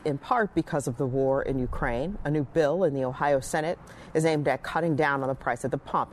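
Woman, local TV news anchor, steady scripted read. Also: low voice, a little hiss or hum.

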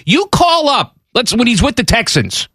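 Male sports-talk co-host, lively pitch, sarcastic, dry close mic. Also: moderate pace, slightly rough, no room sound. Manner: animated, sarcastic, pushes back with rhetorical questions. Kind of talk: casual sports talk debate.

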